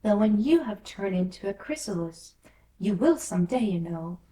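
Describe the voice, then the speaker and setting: A woman's voice: mid-range voice, lively intonation, moderate pace, warm tone, natural voice. A woman voicing a polite, puzzled little girl in a fairy tale, speaking earnestly with some hesitation. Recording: roomy echo, distant from mic.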